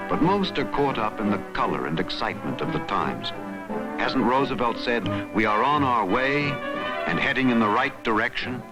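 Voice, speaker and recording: A man's voice: medium pitch, expressive, normal speed, rough recording. An American man narrating a vintage documentary in a dramatic, emphatic newsreel style with scripted lines. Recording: vintage documentary soundtrack with loud music. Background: loud music.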